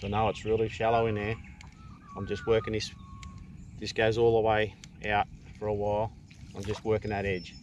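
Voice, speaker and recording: A middle-aged Australian man giving an unhurried, casual fishing tutorial, explaining step by step from a kayak. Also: lowish voice, monotone, dull audio, slight background noise. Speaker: fishing vlogger. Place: outdoors in a kayak on open water.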